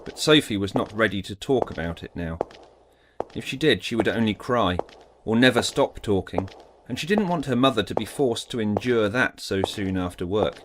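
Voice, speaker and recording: A man's voice: on the low side, expressive, normal speed, noisy background. A man giving a scripted, dramatic narration of an audiobook story.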